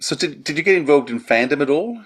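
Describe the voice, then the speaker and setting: Quick, higher-pitched male interviewer. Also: lively intonation, a bit bright, breathy edge. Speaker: podcast interviewer. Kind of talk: casual interview questioning.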